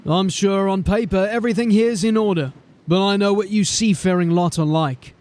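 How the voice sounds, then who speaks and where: A man's voice: high voice, normal speed, a little mellow, lightly acted. A man playing a stern male city watch sergeant who questions people in a formal, suspicious way. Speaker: police sergeant.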